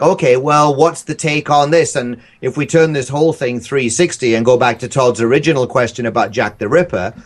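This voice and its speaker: A man with a medium-pitched voice talks casually on a podcast. He rambles through his stories, hesitating and circling back as he goes. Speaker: podcast guest, audio drama creator.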